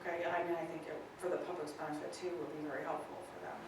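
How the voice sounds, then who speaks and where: A woman with a low voice talks tentatively and explains as she goes at a public meeting. The sound is distant and heavily echoing, with noise behind her. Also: steady tone, very fast. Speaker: meeting participant. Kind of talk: spontaneous discussion at a public meeting. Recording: echoey meeting room, distant mic, noisy.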